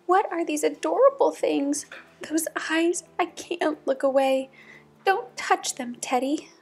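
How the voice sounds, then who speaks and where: Woman putting on high-pitched, panicky storybook townsfolk. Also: lively intonation, moderate pace, darkish tone. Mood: awed, alarmed. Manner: urgent, dramatic warnings. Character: alarmed, bossy townspeople and mayor in a puppy-fearing town. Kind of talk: children's storybook character voice.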